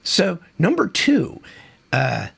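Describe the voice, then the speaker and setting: A man's voice: sits high, huge pitch swings, takes it slowly, creaky, fairly bright tone, slightly rough. A man who is losing his voice from a cold, hosting a casual music countdown in a chatty, enthusiastic, rambling way. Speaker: music video host.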